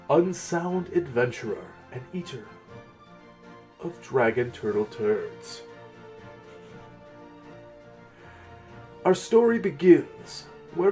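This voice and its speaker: A man playing a grandiose, pompous storyteller in a dramatic reading of a mock-epic fantasy tale. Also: fairly high pitch. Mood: grand, reverent. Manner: theatrical, pompous.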